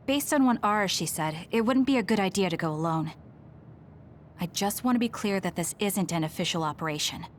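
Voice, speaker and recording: An actress voicing a calm, direct, resolute young woman fighter in an anime game dub, reserved and matter-of-fact. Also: medium pitch, slight background noise, lightly acted.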